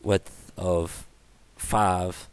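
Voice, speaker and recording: A man's voice: sits low, little pitch movement, drawn-out words, smooth. A man teaching a coding tutorial over a screencast, thinking aloud with fillers and self-corrections. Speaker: tutorial instructor.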